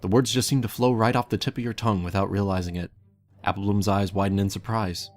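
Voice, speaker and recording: Low voiced guy narrating a fanfic, soft music behind. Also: fairly even intonation, fast talker. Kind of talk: scripted fan fiction narration.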